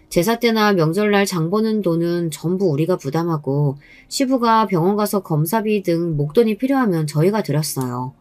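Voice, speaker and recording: A woman's voice: lowish voice, a little mellow, no room sound. Dry-sounding woman narrating a story. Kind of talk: scripted first-person story narration.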